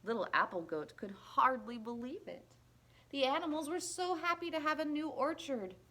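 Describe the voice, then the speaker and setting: A woman reading a children's picture book aloud in an expressive, deliberate, playful storytelling manner. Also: high-pitched. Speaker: storybook reader at a library.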